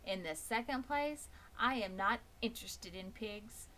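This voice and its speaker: A snooty young lamb with a prim, dismissive way of speaking, as a woman voices her. The tone is bright and clipped over faint noise. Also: medium pitch, animated, a little slow, pitched above the performer's own voice.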